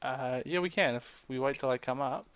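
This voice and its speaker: A young man chatting casually while playing a game with a friend, reacting quickly. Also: mid-range voice, a bit bright, smooth, dry recording. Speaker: gamer. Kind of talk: casual let's play chat.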